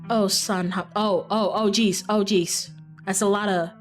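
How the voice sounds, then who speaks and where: Woman game streamer, average pitch, excitable, playful off-the-cuff commentary with stumbling repeats. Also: a little slow, soft background music. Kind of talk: playful game commentary while reading a visual novel aloud.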